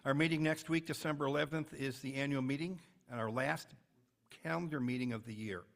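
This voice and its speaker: A man's voice: medium pitch, a little fast, gravelly, fairly bright tone. A man with an American accent reading announcements as host of a club meeting, with informal asides.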